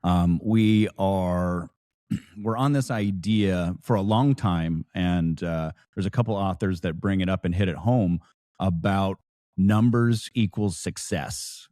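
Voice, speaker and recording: A guest on a small podcast, an American man in his forties with a dark, mellow voice, telling stories casually in a dry booth-like sound. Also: deep voice, slow, no room sound. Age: late 40s. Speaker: podcast guest, lodge educator. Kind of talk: casual chat with anecdotes.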